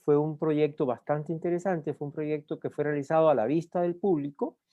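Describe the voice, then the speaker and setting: A man from Cuba with a Cuban accent, lecturing at a university in an unhurried way, often pausing on "eh" and restarting words. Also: a little high, fairly even intonation, dark tone, no room sound, highs cut off. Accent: Cuban Spanish. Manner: unhurried with frequent "eh" fillers and restarts. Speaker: guest lecturer. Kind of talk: university talk.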